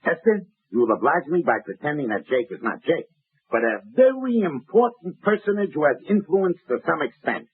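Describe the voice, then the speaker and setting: An actor playing a pompous middle-aged manager in a 1940s radio comedy, speaking in stiff, formal phrases and often exasperated. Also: male voice, fairly high pitch, very animated, moderate pace, slightly rough, exaggerated voice. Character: pompous middle-aged man coaching a naive actress. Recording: scratchy lo-fi old radio broadcast.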